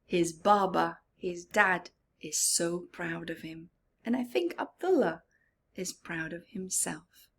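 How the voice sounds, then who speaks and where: Slow, expressive woman reading to children. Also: fairly low pitch, slight background noise. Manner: expressive, dramatic, with gasps, whispers and questions to the listener; slow, simple child-directed phrasing. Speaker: storyteller for children. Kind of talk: animated read-aloud of a picture book with asides to young listeners.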